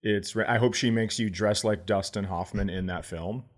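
A man with a fairly low voice chats as a podcast co-host. His style is casual comic banter, wry and teasing, with some loose swearing. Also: normal speed.

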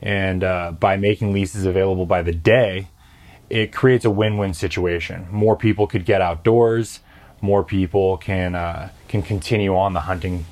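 A man's voice: sits low, a little mellow. A man, a company co-founder, talking as a podcast guest in a friendly way, often repeating words as he explains. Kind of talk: casual interview.

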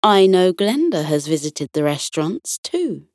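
A woman voicing a kindly male teddy bear sea captain with a deep, calming, slow and courteous way of talking. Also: no room sound, studio quality. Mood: inviting, unhurried.

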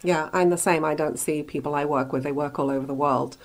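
A woman with a notably low-pitched voice hosts a radio interview. She speaks conversationally, as a host does when chatting with a guest and moving the talk along. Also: steady tone, fairly quick.

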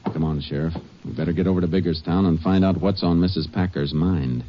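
An American man acting as a steady, shrewd Texas Ranger in a 1950s radio western, terse, measured and authoritative. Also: sits low, natural voice. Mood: businesslike. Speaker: lead actor and narrator. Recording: muffled, noisy old radio broadcast recording.

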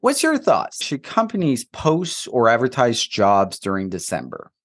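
A man whose first language is French, co-hosting a podcast and talking through hiring topics in a thoughtful, measured way. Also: medium pitch, wildly swooping pitch, slightly rough. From Canada. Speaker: podcast co-host. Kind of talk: casual conversation about recruiting.

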